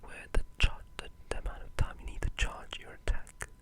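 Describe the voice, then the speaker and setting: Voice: fairly quick, fairly bright tone, dry recording. Whispered, rambling ASMR gaming commentary. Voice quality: breathy unvoiced whisper. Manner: rambling, enthusiastic. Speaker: gaming channel creator.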